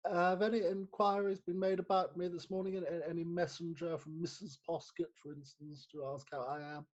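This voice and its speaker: An actor playing a flustered, guilty, respectable magistrate in a theatrical comic reading, stammering and hesitant with a husky voice. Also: male voice, on the high side, level tone, a little fast, lightly acted. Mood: anxious. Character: flustered, guilt-ridden, respectable London magistrate, disheveled after a night out. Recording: dull lo-fi remote recording.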